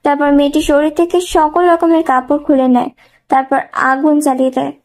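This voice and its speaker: A woman's voice: high-pitched, level tone, a little fast, a little mellow. A woman reading scripted narration of a dramatic thriller story as a storyteller.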